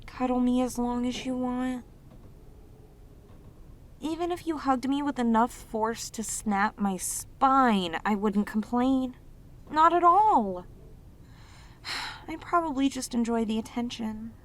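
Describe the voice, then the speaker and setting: A woman playing a sweet, clingy demoness in a romantic audio roleplay, speaking tenderly and haltingly with intense devotion. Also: a little high, a little hiss or hum. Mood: tender, pleading. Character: sweet, devoted, clingy yandere demoness, long sealed away.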